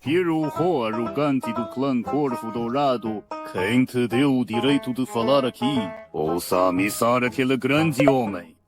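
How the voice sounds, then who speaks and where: A man's voice: mid-range voice, unhurried, few pauses, over music, close to an everyday voice. Man playing a proud, hot-tempered dragon emperor. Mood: angry, challenging. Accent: Brazilian. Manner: blustering, declamatory. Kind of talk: dubbed motion comic dialogue.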